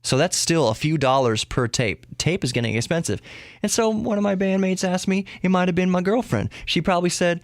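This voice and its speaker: A man's voice: high-pitched, a little fast, no room sound, studio quality. A man hosting a podcast solo, talking casually in a rambling way, often interrupting himself and repeating words for emphasis. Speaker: podcast host. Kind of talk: casual solo tech and geek news talk.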